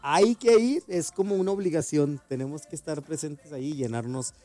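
A man's voice: on the high side, a little fast, warm tone. A man with a northern Mexican accent hosting a casual, conversational interview as the interviewer. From Ciudad Juárez, Mexico.

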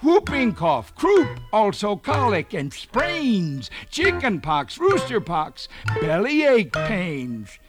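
A man voicing a small, sly, quick-witted rabbit in a children's storybook, with a theatrical, persuasive delivery. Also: high-pitched, wildly swooping pitch, music in the background, voice pushed up, heavily acted. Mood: sly, reassuring. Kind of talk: children's storybook character reading.

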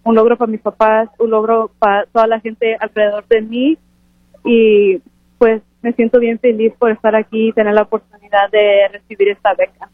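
A woman of twenty-two giving an earnest, halting, unscripted interview answer. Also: fairly high pitch, flat delivery, no echo. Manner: earnest, halting with self-corrections. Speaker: interviewed graduate student and scholarship recipient. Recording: phone-like radio broadcast sound.

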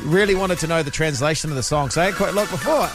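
Upbeat high-voiced New Zealand radio host. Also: male voice, racing, over music. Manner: upbeat, laughs often. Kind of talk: casual radio banter.

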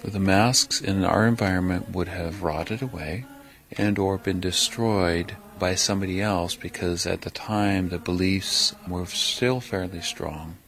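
A man explains history in a documentary interview. He pauses and restarts as he thinks. His voice is very low. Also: fairly even intonation, fairly bright tone. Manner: thoughtful, halting explanation.

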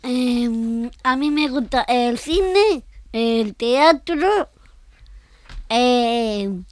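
A woman in her forties from Argentina giving simple, halting answers as an interview guest. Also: fairly high pitch, lively intonation, a little mellow, dry recording, clean studio sound. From Argentina, Buenos Aires. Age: 40s. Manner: hesitant, halting, short answers.

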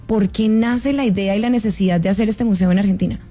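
A woman's voice: mid-range voice, fast, dark tone, faint music behind. A woman asking questions conversationally as an interviewer.

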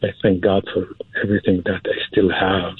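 A man's voice: low-pitched, little pitch movement, fairly quick, husky, no room sound. A man from Syria, a film producer speaking as an interview guest, hesitant and repeating words for emphasis. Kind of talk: casual interview talk. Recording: dry, phone-like narrow band.

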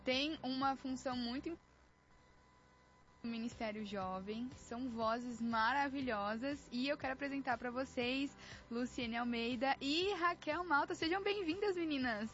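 Brazilian woman, highish voice, slightly muffled. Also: measured pace, a bit bright, soft background music. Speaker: radio co-host. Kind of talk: casual playful chat. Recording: slightly muffled band-limited sound.

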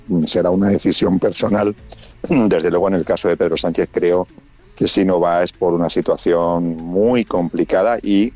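A low-voiced man from Spain chats through his report, often restarting and filling pauses. The sound is muffled and lo-fi, like radio audio. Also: a little mellow. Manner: conversational, many restarts and fillers. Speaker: radio correspondent.

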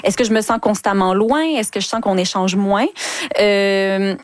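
A woman's voice: medium pitch, moderate pace, no echo. Quebec woman, dry-sounding expert guest. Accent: Quebec French. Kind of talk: conversational expert explanation on radio.

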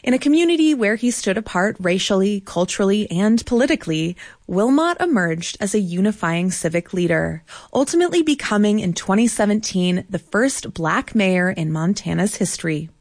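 Clear, even-voiced woman radio host. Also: medium pitch, no room sound, clean studio sound. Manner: measured, clear read of sponsor credits. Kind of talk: scripted radio introduction and interview questions.

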